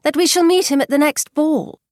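A woman's voice: high voice, brisk, darkish tone, no room sound, studio quality, pitched above the performer's own voice, natural voice. A woman voicing a sensible, composed young daughter in an audiobook.

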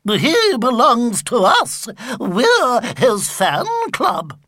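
A man voicing a trio of clingy elderly women fans with shrill, screechy voices, speaking slowly. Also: huge pitch swings, pushed and bright, no echo, clean studio sound, heavily acted. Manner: screechy high slow delivery.

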